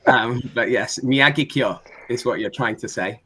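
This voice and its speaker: A man's voice: mid-range voice, a little slow, bright, forceful tone, slightly rough. A man chatting casually and wryly in a roundtable on a video call. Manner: chatty and wry. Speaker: whisky video maker.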